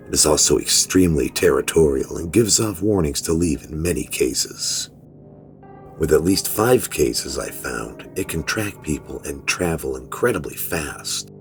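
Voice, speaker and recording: Man playing a gravelly, very deep-voiced weary father. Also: heavily acted. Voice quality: low, gravelly. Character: weary, fearful middle-aged father confessing creature research. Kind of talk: first-person horror story narration.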